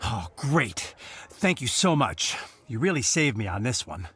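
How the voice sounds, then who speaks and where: Flustered stammering knight. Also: male voice, medium pitch, big pitch swings, unhurried, a bit bright, faint music behind. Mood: relieved. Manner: nervous, stammering, fumbling for words. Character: flustered young male knight messenger.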